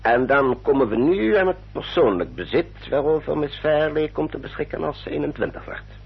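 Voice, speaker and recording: An actor playing a courteous English family solicitor in a radio drama, speaking in a formal, measured, explanatory manner. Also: male voice, a little high, animated, vocal fry, slightly rough, exaggerated voice. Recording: dull, muffled old radio recording.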